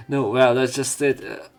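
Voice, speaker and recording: Man narrating a game over music. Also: mid-range voice. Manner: sweary, irreverent asides. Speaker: let's play narrator. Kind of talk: reading visual novel text aloud with casual commentary.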